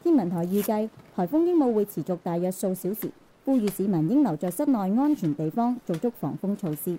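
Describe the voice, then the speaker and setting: A woman with a Hong Kong accent playing a formal news reporter, reading a measured bulletin in a radio drama. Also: medium pitch, big pitch swings, mellow, poor audio. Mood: formal, serious.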